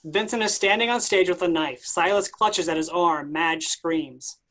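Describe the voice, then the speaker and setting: A man's voice: on the high side, normal speed, bright, forceful tone. A man reading stage directions as scripted narration at a play reading.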